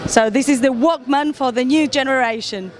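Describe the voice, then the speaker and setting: A woman's voice: high voice, moderate pace. A woman interviewing an exhibitor at a trade show with short questions. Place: large indoor exhibition hall. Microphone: handheld interview microphone. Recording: busy crowd noise behind. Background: trade show crowd hubbub.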